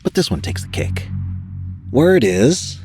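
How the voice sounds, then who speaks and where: An actor playing a gruff, fed-up older boss in an audio drama, stern, curt and sarcastic. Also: male voice, medium pitch, very animated, slow, darkish tone, faint music behind. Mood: exasperated, scolding.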